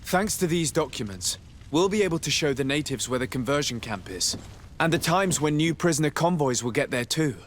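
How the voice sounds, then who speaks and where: Male actor as young noble envoy, slightly high male pitch, formal, self-assured, politely defiant, fantasy game. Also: expressive, fairly quick, slight background noise, lightly acted. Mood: composed, purposeful. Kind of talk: video game voice acting.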